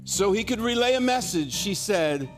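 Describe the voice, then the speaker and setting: A man's voice: high-pitched, animated, normal speed, soft background music, low-quality recording. A man with a dry, joking manner, bantering and reading aloud as a guest on a studio talk show. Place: television studio stage.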